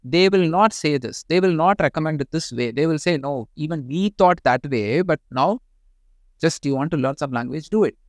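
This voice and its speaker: Indian-English man, thirties, high voice, tech vlogger, dead-dry close mic. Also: normal speed, no room sound. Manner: animated, gestures a lot while explaining. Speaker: tech YouTuber. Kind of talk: casual talk to camera. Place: small home studio room.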